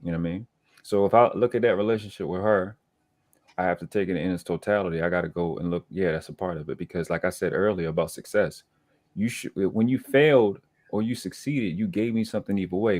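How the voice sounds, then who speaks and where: A man's voice: sits low, no room sound. A man in his thirties talking earnestly and reflectively as a panelist in a casual live-stream discussion. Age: 30s.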